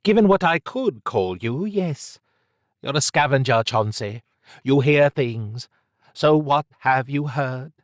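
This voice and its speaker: A tough woman agent in an audiobook story, voiced by a male narrator, lifted in pitch and recorded dry. She is cool and sardonic, needling with pointed, cutting remarks. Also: lively intonation, takes it slowly, dry recording.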